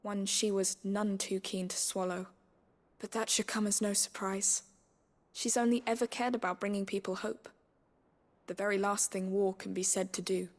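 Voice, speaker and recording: A woman's voice: mid-range voice, fairly even intonation, normal speed, natural voice. A woman playing an earnest, courteous schoolteacher in a video game, speaking formally and precisely. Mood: grave, earnest. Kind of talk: video game dialogue acting.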